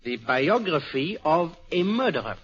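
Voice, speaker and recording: An actor playing a methodical, grave detective with a Belgian French accent and formal, courteous speech in a 1940s radio mystery. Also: male voice, on the high side, big pitch swings, a little slow, soft background music. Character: famous Belgian detective, middle-aged man, methodical and grave. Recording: dull 1940s radio recording.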